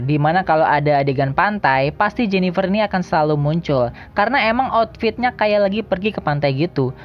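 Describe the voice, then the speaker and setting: A woman's voice: fairly low pitch, highs cut off. A woman narrates a countdown video in a casual, slangy, jokey way. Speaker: video host. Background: background music.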